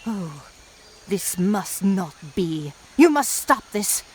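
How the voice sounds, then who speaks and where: An actress playing a haughty, ominous sorceress in a fantasy game, with an imperious, theatrical delivery. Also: female voice, mid-range voice, very animated, slow, slight background noise. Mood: grave urgency. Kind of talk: video game cutscene acting.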